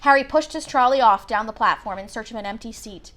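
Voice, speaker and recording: High-voiced woman reading a story aloud. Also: brisk, a bit bright. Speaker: read-aloud narrator. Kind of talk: expressive storybook narration.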